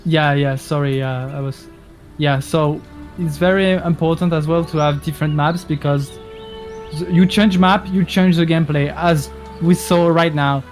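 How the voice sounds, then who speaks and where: A man co-casting a strategy game, explaining tactics in halting sentences with many restarts. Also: a little high, fairly even intonation. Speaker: co-caster. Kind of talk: game analysis commentary. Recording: music playing behind.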